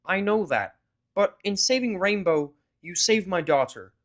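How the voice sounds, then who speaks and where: Male reader as humble cartoon stallion dad, somber and measured, pitched above his natural voice. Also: expressive, fairly bright tone, exaggerated voice. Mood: somber, grateful. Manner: earnest, measured.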